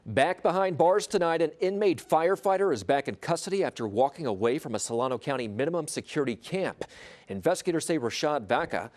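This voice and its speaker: Lively male news anchor. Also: on the high side, fairly quick. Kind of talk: scripted news reading.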